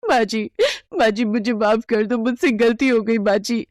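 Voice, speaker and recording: A woman's voice: a little high, steady tone, measured pace, a little mellow, dry recording, studio quality, voice pushed up, lightly acted. Woman playing an anxious, pleading mother.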